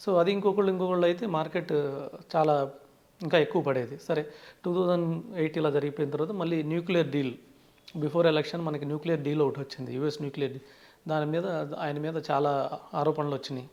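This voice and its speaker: Higher-pitched Telugu man explaining in an interview. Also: fairly even intonation, a little fast, a little mellow, highs cut off. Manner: frequently opens sentences with "so". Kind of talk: explanatory interview talk mixing Telugu with English terms.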